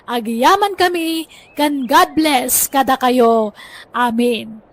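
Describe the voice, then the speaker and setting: High-voiced woman narrating drama credits. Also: takes it slowly.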